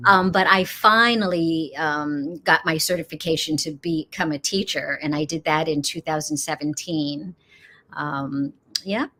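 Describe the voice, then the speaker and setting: A middle-aged woman from Los Angeles, a yoga teacher speaking as an interview guest in an unhurried way, laughing often and pausing with frequent "um"s. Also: deep voice, sharp tone. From Los Angeles, United States. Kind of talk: casual interview chat.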